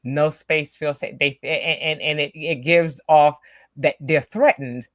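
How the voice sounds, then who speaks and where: Soft-spoken woman, dry close sound. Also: low voice, steady tone, unhurried, no echo. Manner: earnest, many small restarts. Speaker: co-host of a spiritual live show. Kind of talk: casual live-stream chat.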